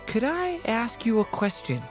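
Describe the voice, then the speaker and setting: An actor voicing a gentle, earnest young male artificial being in a video game, sincere and a little hesitant. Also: medium pitch, wildly swooping pitch, a little slow. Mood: earnest, careful. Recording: game music behind.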